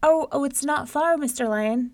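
A woman's voice: high-pitched, normal speed, voice pushed up. A woman voicing a wily male trickster rabbit in a storybook tale, pleading and coaxing. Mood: fearful, meek. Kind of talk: storybook character voice.

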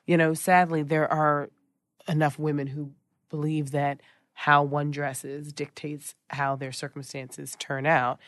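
A woman host with a lowish voice, curious and opinionated, mulling things over aloud between questions. Her voice sits dry in the mix, with no room sound. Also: level tone, unhurried, no room sound. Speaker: interviewer host. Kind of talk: interview questions and commentary.

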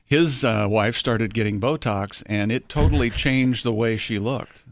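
A man's voice: fairly low pitch, measured pace. A man co-hosting a casual chat, telling rambling, joking anecdotes full of fillers. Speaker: co-host.

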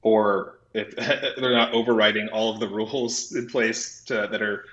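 Man, lowish voice, halting casual explainer, roomy slightly muffled audio. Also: fairly even intonation, a bit bright. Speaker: podcast guest, software developer. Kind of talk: casual technical conversation. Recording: roomy, slightly dull low-fidelity audio.

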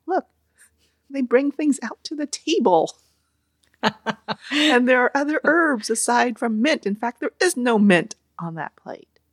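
A woman in her forties, born in Vietnam, telling family stories in a relaxed interview, laughing often and acting out what her relatives said. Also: fairly low pitch, dry recording, studio quality. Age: 40s. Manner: animated, laughs often, acts out family quotes. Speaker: guest. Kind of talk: casual storytelling interview.

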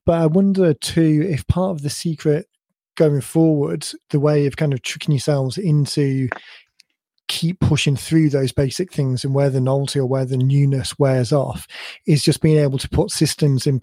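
A man co-hosting a podcast in casual chat, his voice a bit croaky, thinking aloud in long rambling sentences. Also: medium pitch, dark tone, no room sound. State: slightly croaky voice. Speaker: podcast co-host.

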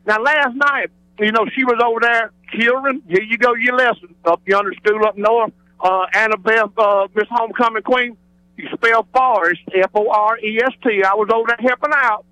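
A man's voice: high voice, steady tone, moderate pace, bright, forceful tone, clear, resonant tone, dry recording. A man with a Southern US accent phoning a radio show, rambling in an exuberant, folksy way. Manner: exuberant, digressive, folksy showman. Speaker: regular radio phone-in caller. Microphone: telephone. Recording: radio broadcast phone call.

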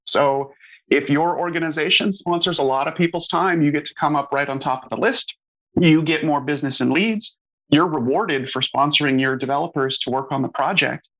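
Man, casual panel speaker, bright tone, explanatory. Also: on the high side, a little fast, poor audio. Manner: casual, explanatory, frequent fillers. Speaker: open source association staff member. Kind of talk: conversational panel talk.

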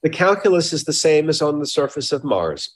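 A man's voice: on the high side, sing-song. Hesitant male scientist explaining in an interview. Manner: halting, hedging explanations with repeated words. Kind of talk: informal expert interview.

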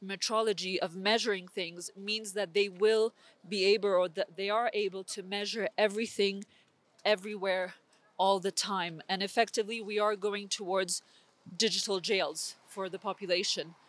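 A woman's voice: medium pitch, steady tone, normal speed, no room sound. A young woman from Switzerland, speaking as an interview guest with intense, urgent political commentary and frequent restarts. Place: conference venue.

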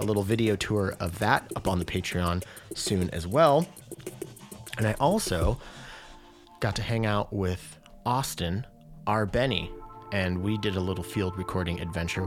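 A man's voice: lowish voice, lively intonation, normal speed. Podcast host, man in his thirties, loose rambling delivery, music playing behind. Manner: rambling, filler-heavy, enthusiastic. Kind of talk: casual podcast intro and interview.

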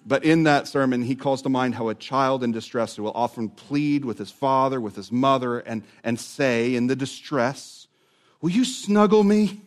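Man preaching, swinging loud to hushed. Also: mid-range voice. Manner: dramatic swings from loud to hushed, drawn-out words. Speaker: pastor. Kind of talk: sermon.

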